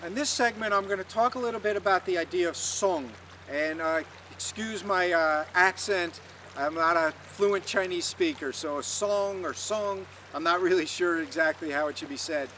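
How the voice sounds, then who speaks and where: A man teaching tai chi, guiding students calmly and patiently through an exercise step by step. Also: sits high, moderate pace, distant mic, a little hiss or hum. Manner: calm, patient step-by-step guidance. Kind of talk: instructional talk with demonstration.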